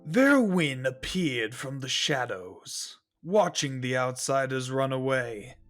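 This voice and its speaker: Slow, expressive storyteller. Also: male voice, mid-range voice. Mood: ominous, measured. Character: storyteller voicing a calm, scheming mystic. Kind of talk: third-person audio drama narration. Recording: music underneath.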